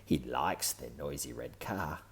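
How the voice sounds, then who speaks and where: A man reading a children's picture book aloud, with gentle, often whispered asides. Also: rumbling low, a little slow, background noise.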